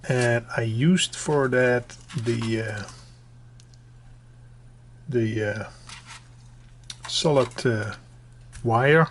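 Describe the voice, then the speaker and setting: A man presenting an informal hands-on do-it-yourself tutorial, explaining step by step in a halting way and repeating his instructions. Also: medium pitch. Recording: clearly noisy home recording with clicks.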